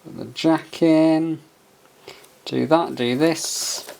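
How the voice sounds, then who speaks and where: Man's voice over clear background noise. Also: fairly high pitch, fairly even intonation, extremely slow, darkish tone. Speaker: product reviewer. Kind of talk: casual hands-on review, partly reading a manual aloud.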